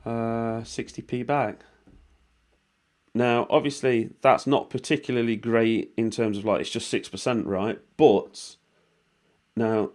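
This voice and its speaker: A British man with a voice worn hoarse by a cold, talking viewers through an online walkthrough in a rambling, informal way, with swearing and lots of fillers. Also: medium pitch, a little mellow. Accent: British English. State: losing his voice from a cold. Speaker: vlogger explaining money-making offers. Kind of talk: casual screen-recording walkthrough.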